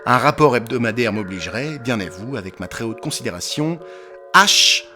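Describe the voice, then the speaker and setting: Male actor as eloquent, provocative detective, declamatory audio-drama delivery, animated pitch, faint music underneath. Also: moderate pace, lightly acted. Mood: reading, measured. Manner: theatrical, declamatory. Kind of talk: French audio drama acting.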